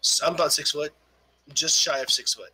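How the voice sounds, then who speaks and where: A man's voice: a little high, fairly even intonation, normal speed, sharp tone, a little hiss or hum, rough recording. A man in his forties in a crude, joking online voice-chat argument, stammering and swearing a lot. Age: 40s. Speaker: debate stream participant.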